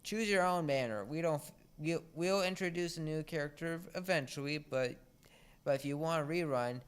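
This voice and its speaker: Halting teenage guy, smooth clear voice. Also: on the high side. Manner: halting, restarts words often. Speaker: podcast host. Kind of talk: casual chat.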